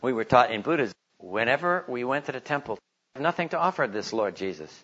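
A man speaks as an informal Bible teacher, telling stories and reading aloud with animated delivery. His pitch sits in the middle range, and the recording is a little dull and lo-fi. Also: fairly quick. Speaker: Bible teacher giving a talk.

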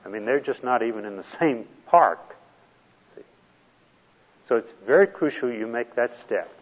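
A man's voice: medium pitch, lively intonation, moderate pace, long reverb tail, muffled recording. A man teaching a retirement planning class, explaining step by step in a patient, plain way. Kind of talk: classroom lecture.

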